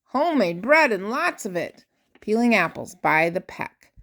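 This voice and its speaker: An older woman, a grandmother, reads a rhyming children's picture book aloud with expressive, theatrical emphasis on key words. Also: medium pitch. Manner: theatrical emphasis, drawn-out key words, dropping to hushed asides.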